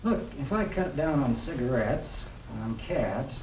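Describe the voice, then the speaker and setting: An actor playing a practical young American husband, plain-spoken and reasoning, in the style of a 1950 educational film. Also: male voice, medium pitch, lively intonation, measured pace, natural voice. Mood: persuading. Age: young adult. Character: young professional husband, practical, matter-of-fact, budget-minded. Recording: echoey, noisy 1950 film soundtrack.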